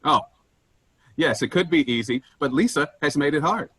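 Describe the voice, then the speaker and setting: A man playing a pushy, penny-pinching office boss in a staged dark comedy, blunt and self-important as he lectures. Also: a little high, normal speed, husky, no echo. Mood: lecturing.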